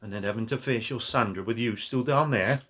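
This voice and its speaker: A man acting as a steadfast former miner in a radio play, with a Northern English accent and a mid-pitched voice. He is plain-spoken and loyal, with a dry, wry humour. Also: brisk, a bit bright, slight background noise, natural voice. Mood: warm, joking. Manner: plain-spoken, dryly humorous.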